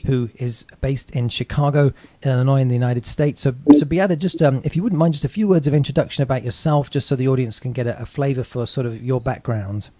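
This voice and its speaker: A man hosting a podcast, interviewing conversationally and rephrasing his questions as he goes, with softened wording. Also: mid-range voice, steady tone, fairly quick, few pauses, crowd noise.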